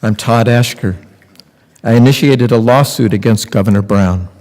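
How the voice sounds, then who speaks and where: A man in his sixties reading scripted testimony aloud with deliberate, emphatic phrasing. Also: mid-range voice, darkish tone. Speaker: reader in a readers theater. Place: large temple sanctuary, at a lectern. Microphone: podium microphone on a boom stand. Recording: long hall reverb.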